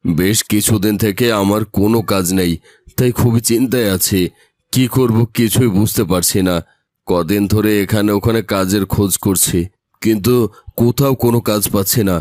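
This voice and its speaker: Casting: man as poor cartoon husband, low dry-recorded voice, hot-tempered, plaintive and fretful. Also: normal speed, a little mellow, dry recording, natural voice. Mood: earnest. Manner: grumbling, plaintive. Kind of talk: cartoon voice-over dialogue.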